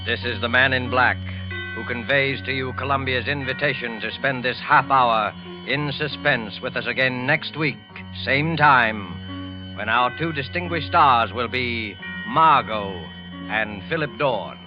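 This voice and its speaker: Music plays clearly behind a male radio announcer on a dull vintage recording. He is formal and deliberate, pausing for theatrical weight in forties suspense style. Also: medium pitch. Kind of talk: 1940s radio announcing, scripted suspense narration.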